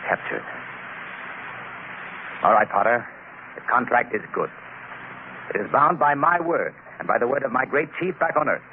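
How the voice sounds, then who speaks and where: Guy playing stubborn earnest agent, pitch swings a lot, thin hissy old radio sound. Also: expressive, moderate pace, pauses often, natural voice. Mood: defiant. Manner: defiant, earnest. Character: stubborn young male colonial agent. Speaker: narrator and lead. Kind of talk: 1950s radio drama acting. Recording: scratchy tinny old radio broadcast.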